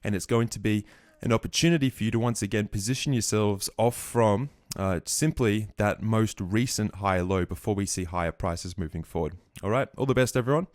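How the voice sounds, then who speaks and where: A man giving a market recap over his trading charts, a bit croaky, walking through trades confidently. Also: on the low side, no room sound, clean studio sound. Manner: fast, confident chart walkthrough. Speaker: trading educator.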